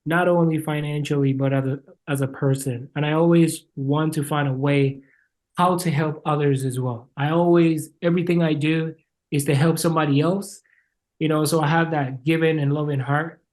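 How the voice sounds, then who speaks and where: A man's voice: on the high side, fairly even intonation, moderate pace, darkish tone. A man with a Jamaican accent and a somewhat raised voice, speaking as a podcast guest. He tells his story earnestly and conversationally, with many small fillers. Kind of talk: casual interview storytelling.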